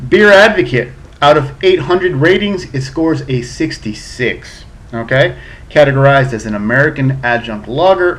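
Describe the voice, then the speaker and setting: A man's voice: medium pitch, a little hiss or hum. A man in his thirties from South Florida, a little drunk, casually reviewing a beer on his webcam in a chatty, profane way. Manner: chatty, profane, a bit tipsy. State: slightly drunk.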